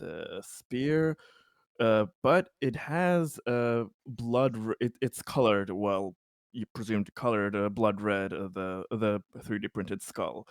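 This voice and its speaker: A man playing a tabletop game, talking casually in a halting, hesitant way and repeating words. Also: fairly low pitch, smooth, no room sound, clean studio sound.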